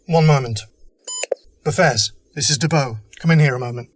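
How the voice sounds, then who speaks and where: A man's voice: medium pitch, moderate pace, background noise, natural voice. A man playing a principled, desperate but humane rebel cell leader in an audio drama, speaking seriously and earnestly. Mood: grave, earnest.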